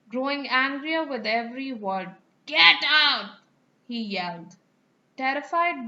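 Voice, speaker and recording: A woman narrating a children's fairy tale as an expressive, dramatic storyteller. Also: high-pitched, highs cut off.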